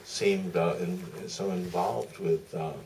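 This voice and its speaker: A man telling anecdotes as a film director at a Q&A, deliberate and halting, pausing mid-sentence. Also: deep voice, fairly even intonation, gravelly, a little mellow. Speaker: film director, panel guest. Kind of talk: anecdotal Q&A answer. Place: theater stage at a public Q&A. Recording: distant mic, faint room noise.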